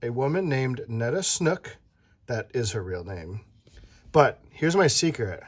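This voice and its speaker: Animated storyteller reading to a child. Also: medium pitch, unhurried. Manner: playful, animated, stops to chat with the child. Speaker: read-aloud storyteller. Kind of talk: reading a picture book aloud to a child.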